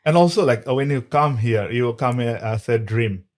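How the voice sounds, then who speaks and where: A man's voice: medium pitch, normal speed, a little mellow. A man hosting an interview, asking questions with hesitant, searching phrasing and many fillers. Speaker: podcast host. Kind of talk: conversational interview.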